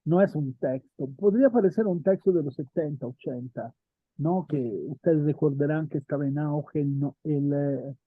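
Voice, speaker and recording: Casting: male teacher, husky and fairly high, discursive lecturing, thin dry phone-like audio. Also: normal speed, no echo. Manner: thinks aloud, asks students questions, frequent "¿no?". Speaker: theater history teacher.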